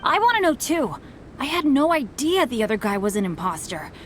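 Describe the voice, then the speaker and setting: An actress playing a lively, earnest young female adventurer in an anime video game, over music. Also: high-pitched, brisk, a little hiss or hum. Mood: earnest, curious. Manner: lively, expressive. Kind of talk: scripted anime game acting. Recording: loud game music and effects.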